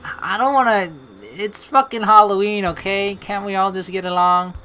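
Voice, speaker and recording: A woman's voice: medium pitch, moderate pace, bright, forceful tone. A woman hosting a game playthrough, reading text aloud and commenting in a rambling, casually sweary way. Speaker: let's play host. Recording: game music behind the voice.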